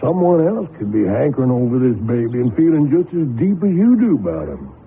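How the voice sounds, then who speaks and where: A man's voice: medium pitch, big pitch swings, brisk. A man playing a cautious, kindly middle-aged American country husband, folksy and hesitant as he reasons things through in a radio drama. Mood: gently reasoning. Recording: muffled lo-fi radio broadcast with noise.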